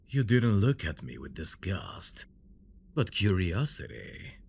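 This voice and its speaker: A man playing an obsessive, menacing dragon-blooded prince in an ASMR fantasy roleplay, speaking in a brooding, gravelly voice with possessive endearments. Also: slight background noise, full character voice. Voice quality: deep, gravelly. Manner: slow, brooding, menacing endearments, dropping into whispers. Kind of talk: ASMR roleplay, dramatic monologue.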